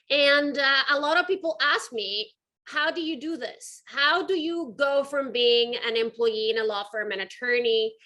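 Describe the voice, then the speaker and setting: A woman's voice: fairly high pitch, pushed and bright. A woman with a Venezuelan accent giving a conversational talk to an audience as a guest speaker, earnest and emphatic. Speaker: guest speaker, art curator and entrepreneur.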